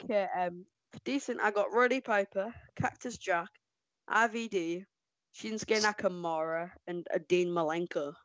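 A woman co-hosting a casual podcast, excitable and quick to laugh. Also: medium pitch, no room sound, lo-fi sound. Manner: excitable, laughs often, animated outbursts. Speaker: podcast co-host. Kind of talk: casual chat ranking wrestlers.

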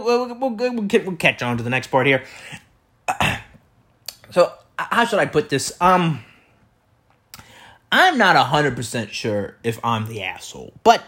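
A man with a loud, projecting voice rambling through a comedic solo monologue as a podcast host, repeating himself and tossing out rhetorical questions. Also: high voice, big pitch swings, unhurried, pauses often. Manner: repetitive, riffing with catchphrases and rhetorical questions. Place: indoor room beside an open window.

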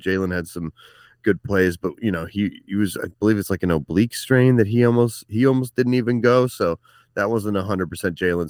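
Male co-host, very deep voice, dry close mic, easygoing sports chatter. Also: no echo. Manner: easygoing, chatty, frequent fillers. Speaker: podcast co-host. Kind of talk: casual sports chat.

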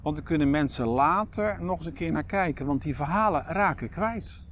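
A middle-aged man chatting casually as a podcast co-host, telling things in a halting, rambling way. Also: on the high side, expressive, fairly bright tone, a little hiss or hum. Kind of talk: casual chat.

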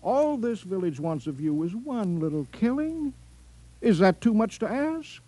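An actor in a 1950s radio comedy playing a folksy, pushy mayor of a small space colony, earnest and cajoling. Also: male voice, high voice, big pitch swings, measured pace, dark tone, exaggerated voice, poor audio. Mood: urgent, cajoling.

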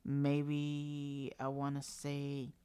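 A man's voice: fairly high pitch, monotone, drawn-out words, mellow, clean tone, no echo. A man in his thirties telling a personal story for his video series in a candid, rambling way, often saying "trust me" and sometimes cursing. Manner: rambling and frank, leaning on "trust me" and "like"; occasional mild cursing. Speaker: vlogger. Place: indoors at home, construction noise nearby.

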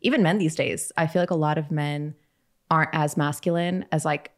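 A woman's voice: low-pitched, fairly even intonation, normal speed. A young American woman chatting casually as a podcast guest, peppering her talk with "like" and acting out little bits of dialogue. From Maine and Texas, United States. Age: young adult. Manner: heavy use of "like", quotes imagined dialogue. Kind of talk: casual chat.

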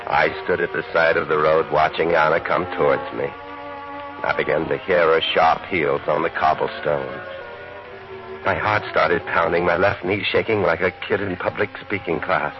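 A sad lovesick narrator in an old radio play, super deep voice, music under it, dull old broadcast sound. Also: male voice, fairly even intonation, moderate pace. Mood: hushed longing. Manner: quiet, wistful, drops to near whisper. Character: lovesick American man, brooding and tender. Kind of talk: 1940s-50s radio drama acting.

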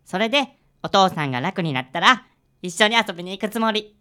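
Animated, earnest little schoolboy. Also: female voice, medium pitch, brisk. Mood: sincere. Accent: Kansai. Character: earnest little schoolboy, eager admiring detective's pupil. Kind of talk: radio drama acting.

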